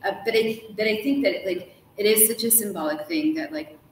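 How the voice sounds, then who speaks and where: A woman's voice: medium pitch, a little fast. A woman being interviewed as an author, talking casually and with animation, scattering "like" through her informal answers. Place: large ornate hotel room, indoors. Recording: echoey room with faint background noise.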